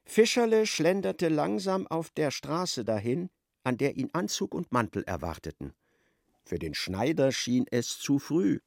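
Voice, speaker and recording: Animated male narrator with a creaky edge. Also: on the high side, measured pace, no room sound, clean studio sound. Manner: storytelling, expressive. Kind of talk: scripted literary narration for radio play.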